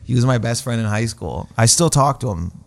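A man's voice: medium pitch, animated, brisk, creaky, darkish tone. A man in his late thirties from Canada, a stand-up comedian telling stories in a casual interview, rambling and self-deprecating with slangy asides. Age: 30s.

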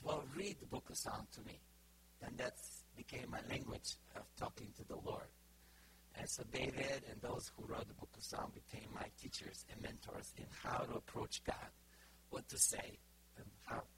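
A middle-aged man from Bulgaria with a Bulgarian accent, giving an informal sermon to a congregation, pausing often with fillers and restarts. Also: medium pitch, noisy background. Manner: halting, many fillers and restarts. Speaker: preacher.

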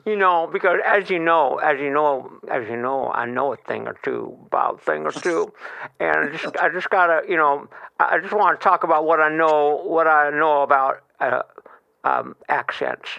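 A man voicing a goofy, excitable man with a quirky accent who gushes, stammers and jumps into squealing high notes. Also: moderate pace, gravelly, no room sound, highs cut off. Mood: gushing. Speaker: show's honorary member.

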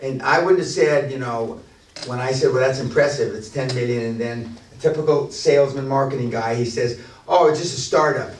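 A man's voice: medium pitch, a little fast. A man running a business seminar in a blunt, boastful, profane way, often restarting his sentences. He is heard from a distance in a reverberant room, with faint hiss underneath. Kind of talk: unscripted seminar talk to a room. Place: large echoey room at a seminar venue. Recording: echoey room, distant mic.

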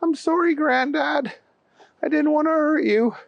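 A man doing a shrill, panicky little boy. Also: measured pace, creaky, no room sound, lo-fi sound, heavily acted. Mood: puzzled, sorry. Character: scared excitable young boy.

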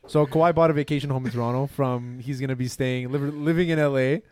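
A man's voice: medium pitch, steady tone, quick pace, a little mellow. A young man co-hosting a sports podcast, opinionated, swearing casually.

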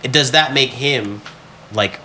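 A man co-hosting a podcast, giving his opinions in a casual but measured, agreeable way. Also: mid-range voice, sharp tone. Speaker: podcast co-host. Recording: faint background noise.

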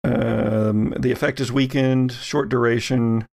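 Guy game master, creaky gravelly voice, medium pitch, explains the scene off the cuff. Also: fairly even intonation, slow pace, a little mellow. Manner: conversational, explanatory. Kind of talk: improvised narration of a tabletop game.

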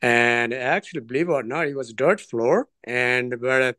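Earnest man, smooth voice. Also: mid-range voice, moderate pace, bright, forceful tone, dry recording, studio quality. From Nepal. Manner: earnest, digressive, hesitant phrasing. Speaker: trekking guest. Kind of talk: interview storytelling.

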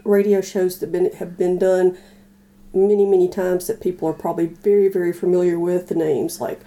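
A woman with a dark, very relaxed voice chats casually as a podcast co-host. She thinks aloud, feeling her way haltingly through her sentences. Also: lowish voice. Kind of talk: casual chat.

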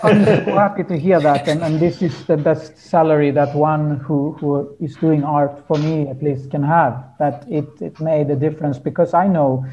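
A man talking reflectively as an interview guest, earnest and halting as he searches for his words. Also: a little high, steady tone, warm tone, a little hiss or hum, rough recording. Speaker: guest musician.

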